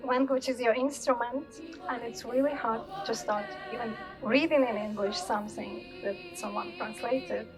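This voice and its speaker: Soft-spoken Ukrainian-accented woman, music behind. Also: a little high, normal speed. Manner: thoughtful, frequent hesitations, soft laughs. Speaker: poet and filmmaker guest. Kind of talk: live Q&A at a film event.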